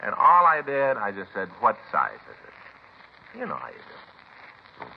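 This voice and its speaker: A man's voice: lowish voice, huge pitch swings, slow, vocal fry, heavily acted. A man playing a fretful, rambling American police detective in a 1950s radio drama, folksy and plaintive in his long-winded way of talking. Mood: defensive, aggrieved. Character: fretful, rambling married male police detective, aggrieved sidekick. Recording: muffled, hissy old radio broadcast.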